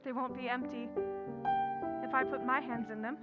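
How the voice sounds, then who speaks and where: Casting: female actor for warm, direct young heroine of a radio play, muffled sound with loud music. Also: fairly high pitch, fairly even intonation, moderate pace, natural voice. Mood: tender. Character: warm, forthright young woman in love. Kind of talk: old-time radio drama acting.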